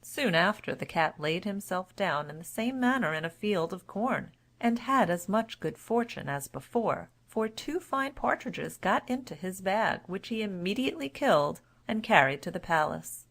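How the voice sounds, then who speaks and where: A woman's voice: medium pitch, animated, normal speed, hardly any pauses, a bit bright. A woman storyteller giving a scripted narration of a classic children's tale.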